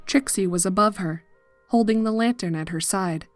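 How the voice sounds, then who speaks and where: A woman narrating a scripted horror story in a measured, dramatic manner. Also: mid-range voice, dry recording, soft background music.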